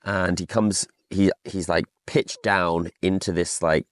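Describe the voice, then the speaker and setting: A man's voice: sits low, fairly even intonation, takes it slowly, no echo. A man chatting casually on a podcast, telling anecdotes as an off-road driver.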